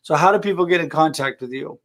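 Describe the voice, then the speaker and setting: A man's voice: on the high side, fast talker, a bit bright. A man hosting a live show, talking in a friendly, upbeat way with his guest and viewers. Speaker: host. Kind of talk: casual live-stream chat.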